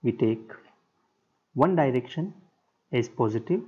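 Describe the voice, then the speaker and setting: A man lecturing at a very slow, deliberate pace, breaking his explanation into short halting phrases. His voice is mellow and a little husky. The sound is dull and lo-fi, like a basic screen-recording mic. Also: medium pitch, animated. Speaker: engineering lecturer.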